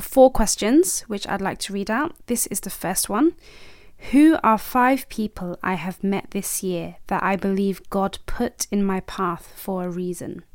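Gentle woman hosting a faith podcast. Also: medium pitch, no room sound, studio quality. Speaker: podcast host. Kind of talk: podcast interview and guided reflection.

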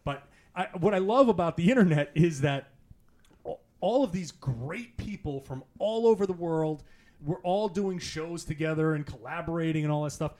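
A man's voice: a little high, normal speed, darkish tone. Man, podcast host, casual chat, chatty, laughs often, restarts sentences. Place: in-person recording in Las Vegas.

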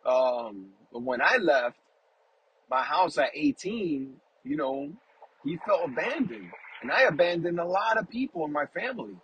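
A man's voice: fairly high pitch, big pitch swings, measured pace, muffled recording, a little hiss or hum. A man hosting a casual faith talk, rambling affectionately and often asking "you know what I'm saying?". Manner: affectionate, warmly emotional about family. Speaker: podcast host.

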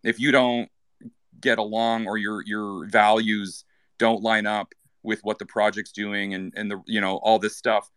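A man's voice: fairly low pitch, flat delivery, a little slow, fairly bright tone, smooth, dry recording, studio quality. A man from Canada chatting casually, rambling with many fillers and restarts. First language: English. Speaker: guest. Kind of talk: casual chat in an online audio space.

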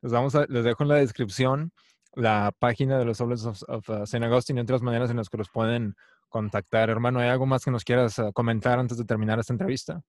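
A man hosting a faith interview, asking measured, polite questions and closing the episode. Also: medium pitch, steady tone, dry recording, clean studio sound. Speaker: host, interviewer. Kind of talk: interview and channel outro.

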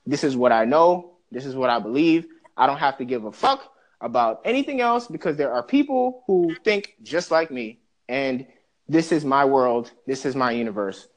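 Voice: medium pitch, lively intonation, normal speed, rough recording. A podcast co-host from the Bahamas, ranting animatedly and profanely in a casual discussion.

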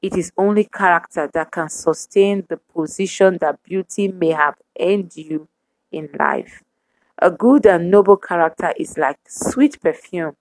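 A woman preaching a sermon to women, speaking in measured, emphatic repetitions. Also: fairly low pitch, darkish tone, no echo, rough recording.

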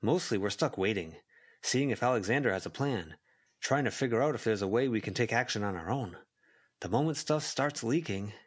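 A wary teenage student who knows the score, read by a man. The delivery is explanatory and conversational storybook dialogue with a lively, moving pitch. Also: brisk, husky. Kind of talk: audiobook character dialogue.

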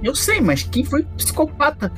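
A woman's voice: high voice, huge pitch swings, normal speed. A woman with a Brazilian accent chatting casually and in slang as a player in a tabletop game. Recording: music behind the voice.

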